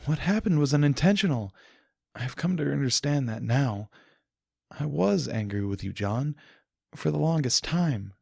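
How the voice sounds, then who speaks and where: A man voicing an ancient, regal female pony princess in an audiobook, with formal, measured, gentle and patient speech. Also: medium pitch, lively intonation, vocal fry, no room sound, studio quality, pitched above the performer's own voice. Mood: solemn, forgiving. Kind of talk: dramatic audiobook character voice.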